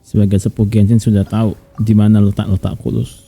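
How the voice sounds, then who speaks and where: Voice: low-pitched, level tone, quick pace, dark tone, slightly rough, faint music behind. A game streamer with an Indonesian accent giving casual gameplay commentary.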